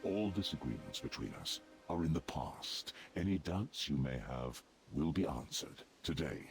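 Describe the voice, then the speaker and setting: An actor playing a solemn, devout ancient servant of a dark god in a fantasy video game, speaking formally and gravely. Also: male voice, big pitch swings, exaggerated voice. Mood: solemn, persuasive. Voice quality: very deep, gravelly. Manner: formal, grave, measured and declarative. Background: music.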